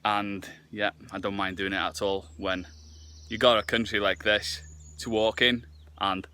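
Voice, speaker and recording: A man's voice: lowish voice, flat delivery, unhurried, pushed and bright, slight background noise. A Welsh man in his twenties, a native Welsh speaker, chatting casually to camera on a walk with frequent hesitations. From Wales. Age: 20s. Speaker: fitness vlogger and nutritionist. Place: outdoors on a morning walk.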